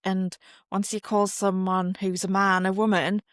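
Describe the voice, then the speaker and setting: A Geordie woman hosting a conversational interview. She rambles chattily, padding her questions with filler words, at an ordinary middle pitch in a dry, close recording. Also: flat delivery, unhurried, dry recording. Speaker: interview host.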